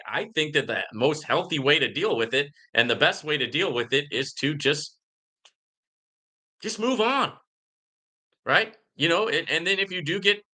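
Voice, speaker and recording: A man hosting a live Q&A in a casual, conversational and emphatic way, reading comments and often checking in with a quick "right?". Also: a little high, sharp tone. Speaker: livestream host answering viewer questions.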